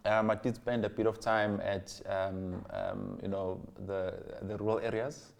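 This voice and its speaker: Young adult man, South African accent, deep pitch, casual storytelling, interview guest. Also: flat delivery, a little slow, vocal fry. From Durban, South Africa. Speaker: interview guest, finance executive.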